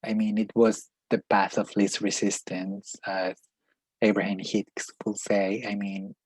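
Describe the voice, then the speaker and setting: A man's voice: fairly low pitch, little pitch movement, measured pace, breathy edge, no room sound, rough recording. A man with a Peruvian Spanish accent, a native Spanish speaker, sharing personal reflections in a spiritual vlog and searching for his words as he goes. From Peru. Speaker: life coach and energy healer.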